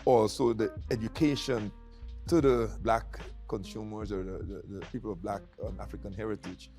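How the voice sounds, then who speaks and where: A man's voice: on the low side, darkish tone. A middle-aged man from Guyana, hosting a casual interview and often repeating words between fillers. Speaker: tea company founder hosting the conversation. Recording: light music in the background.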